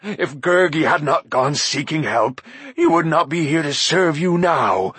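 A man voicing a groveling, whimpering shaggy male forest creature with a high, slow, whining voice. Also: lively intonation, a bit bright, husky, no echo, exaggerated voice. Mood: groveling whine.

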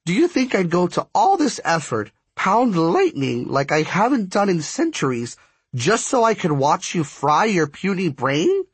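A man's voice: high voice, huge pitch swings, a little slow, slightly rough, dry recording, pitched above the performer's own voice, exaggerated voice. A man voicing a bossy, impatient and ancient female spirit who forges lightning, scolding and commanding in an audiobook story. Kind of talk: acted audiobook dialogue.

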